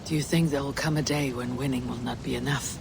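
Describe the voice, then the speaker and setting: An actor voicing a Viking leader with a calm, earnest manner. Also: male voice, mid-range voice, fast, creaky. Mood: steady. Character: steady male Viking leader. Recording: game cutscene with loud rain noise.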